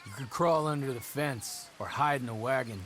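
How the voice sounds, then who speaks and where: Serious young game character, acted by a man: guarded, understated, mid-pitched, slight ambience behind. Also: normal speed, background noise, natural voice. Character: earnest, guarded young man seeking justice. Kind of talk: video game cutscene acting.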